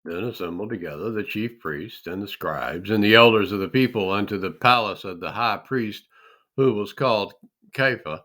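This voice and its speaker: Male scripture reader: solemn and deliberate, very unhurried, with a bright, forceful tone and dramatic weight. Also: fairly low pitch.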